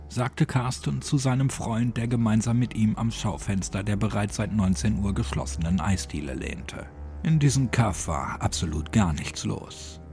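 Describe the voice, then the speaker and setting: A man's voice: fairly low pitch, a little mellow. A man narrating a horror story as a dramatic audiobook reading. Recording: music behind the voice. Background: music.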